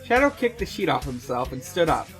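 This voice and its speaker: A podcast host speaking casually and haltingly, in chat and reading fanfiction aloud. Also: mid-range voice, big pitch swings, a bit bright, soft background music. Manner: hesitant, halting.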